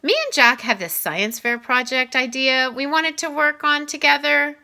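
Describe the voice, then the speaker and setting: A woman's voice: sits high, moderate pace, vocal fry, bright, forceful tone, voice pushed up, full character voice. A woman voicing a smirking, pushy schoolboy who taunts and goads his classmate in a storybook. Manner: taunting, goading.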